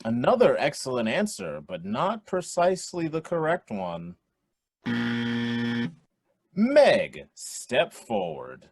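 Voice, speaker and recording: An actor playing a jovial quiz show host in a radio play, with theatrical announcer delivery. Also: male voice, fairly high pitch, wildly swooping pitch, takes it slowly, long pauses, husky, no echo, heavily acted. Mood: showy, upbeat. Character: young man hosting a quiz show, jovial showman. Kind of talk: radio play acting, game show emcee patter.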